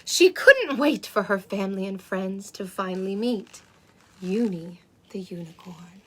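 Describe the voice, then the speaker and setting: A woman's voice: mid-range voice, animated, unhurried, a little hiss or hum, close to an everyday voice. A woman playing a sweet, wide-eyed unicorn who hosts story time for children, with cooing wonder and exaggerated emphasis. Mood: enchanted, cooing. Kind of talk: animated picture-book reading for children.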